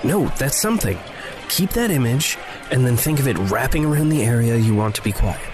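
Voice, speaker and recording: Reserved male ex-mage played by a man, terse and exact, lively pitch range, clear music bed. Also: a little fast, natural voice. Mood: patient, firm. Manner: terse, precise, dry. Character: reserved, reluctant male former magic user giving precise instruction. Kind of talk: naturalistic audio drama acting. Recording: music playing behind the dialogue.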